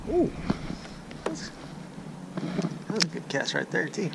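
A man's voice: fairly high pitch, wildly swooping pitch, a little slow, vocal fry. A man with an American accent chatting casually and playfully as he fishes, repeating himself in a folksy way. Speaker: angler filming a fishing vlog. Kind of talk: casual running chatter while fishing. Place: outdoors on a bass boat on a lake. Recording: noisy outdoor boat audio, picks up everything.

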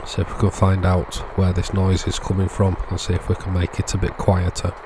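A man's voice: low-pitched, completely flat delivery, brisk, background noise. A middle-aged man narrating casual workbench repairs as a channel host, explaining step by step in short, plain phrases.

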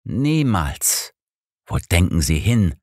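Elderly male pastor read by a narrator, grim, formal, affronted, low-pitched, audiobook, dry sound. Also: big pitch swings, slow pace, darkish tone, no echo, heavily acted. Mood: indignant.

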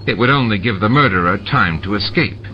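A man voicing a stern, dry shapeshifting male security chief who talks in measured, sarcastic sentences. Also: fairly low pitch, animated, slight background noise, lightly acted. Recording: dull lo-fi with music behind.

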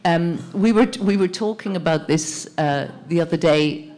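A middle-aged woman moderating a panel discussion, thoughtful and halting as she searches for words. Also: on the low side, a little mellow. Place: indoor panel event in a plain gallery room. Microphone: handheld microphone.

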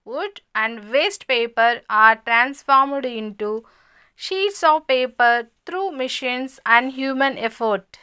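A woman reading a scripted school lesson as a voice-over, stating each heading and then explaining it in a measured way. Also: sits high, bright, forceful tone, clear, resonant tone. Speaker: narrator of a school lesson video.

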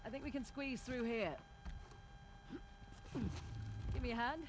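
A woman's voice: a little high, fairly even intonation, fast, lightly acted. A woman playing a sardonic, take-charge female treasure hunter in her thirties in an action video game. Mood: urgent. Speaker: video game character actor. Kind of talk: cinematic action game dialogue. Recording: game ambience noise behind voice.

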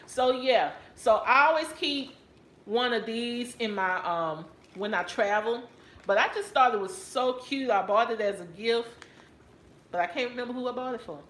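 A woman's voice: on the high side, normal speed, fairly bright tone, reverberant, a little hiss or hum. A middle-aged woman with a Texan accent, chatting casually to her viewers about a handbag she found, sitting on a couch at home. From Texas. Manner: chatty and playful, addresses viewers as y'all. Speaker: handbag haul vlogger. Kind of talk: casual haul video talking to viewers. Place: living room couch at home. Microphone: camera or phone on a stand, close framing.

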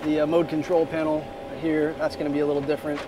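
A man in his thirties with a fairly high voice gives a relaxed, filler-laden tour of a small jet's cockpit. The camera sits at arm's length, and the busy hum of an airfield runs clearly behind him. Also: fast talker. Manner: easygoing, many "uh" fillers. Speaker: corporate pilot vlogger. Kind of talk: casual walkthrough video. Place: small jet cockpit, parked outdoors. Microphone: phone or camera held at arm's length. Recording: noisy airport background.

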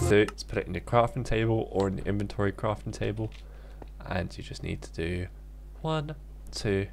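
A man's voice: lowish voice, vocal fry. A man narrating a casual gaming tutorial as its host, instructing informally step by step. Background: background music.

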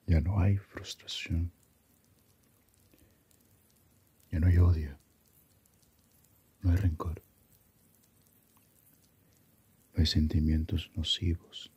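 A man acting Death, ASMR roleplay, very slow, dark soft tone, hushed husky half-whisper, calm, gently philosophical. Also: low voice, highs cut off, full character voice. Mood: hushed, hypnotic. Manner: unhurried, musing, asks reflective questions.

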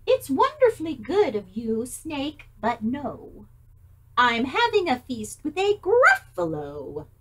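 A woman voicing a small, clever mouse in a storybook, bright and animated. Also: high-pitched, slow, full character voice.

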